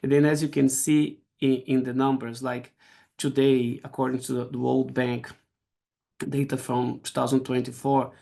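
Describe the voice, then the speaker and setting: Brazilian-accented man, middle-aged, gravelly voice, halting lecture delivery on a video call. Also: mid-range voice, level tone, dark tone. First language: Portuguese. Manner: halting, frequent restarts and repeated words. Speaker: guest speaker. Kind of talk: academic seminar lecture.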